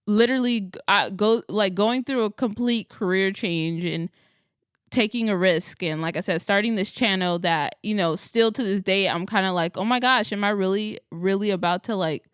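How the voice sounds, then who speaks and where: A woman's voice: medium pitch, fairly bright tone, clear, resonant tone, no room sound, studio quality. A woman in her late twenties chatting casually to camera as an astrology vlogger, rambling and correcting herself as she goes. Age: 20s. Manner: rambling, chatty, self-correcting with frequent laughs.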